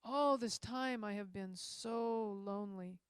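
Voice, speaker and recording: A woman's voice: mid-range voice, slow, clear, resonant tone, dry recording, studio quality, close to an everyday voice. A woman voicing a dignified, kindly old male judge in an emphatic storybook reading. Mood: agitated.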